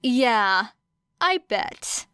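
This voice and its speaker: A woman's voice: a little high, very animated, extremely slow, bright, forceful tone, smooth, dry recording, studio quality, heavily acted. A woman voicing a stern, exasperated female referee with a blunt, firm manner in an audiobook story.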